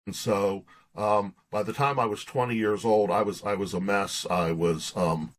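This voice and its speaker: Low-voiced middle-aged man telling personal stories. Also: steady tone, moderate pace. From Pittsburgh, United States. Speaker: guest, pastor and author. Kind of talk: conversational interview storytelling.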